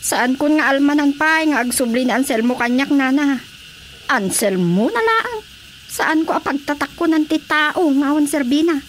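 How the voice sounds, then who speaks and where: A woman's voice: high voice, expressive, moderate pace, slight background noise. An actress with an Ilocano accent playing a combative, indignant woman nursing a grudge in a radio drama comedy. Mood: bitter, scornful. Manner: taunting, indignant, confrontational. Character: Bilya, combative aggrieved woman quarrelling with a rival.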